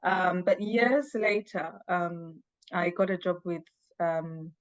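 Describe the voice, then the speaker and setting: A woman who grew up in Zambia, from Uganda, answering interview questions in a measured way with frequent pauses and filler words. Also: fairly low pitch, steady tone, no room sound, dull audio. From Uganda, raised in Zambia. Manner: measured, many pauses and ums. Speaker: guest, human rights officer. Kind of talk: interview conversation.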